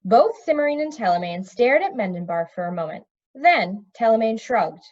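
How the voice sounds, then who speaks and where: Female storybook narrator, expressive, slightly high voice with wide intonation, on a slightly muffled recording. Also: moderate pace. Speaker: storybook reader. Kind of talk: expressive read-aloud narration of children's fantasy.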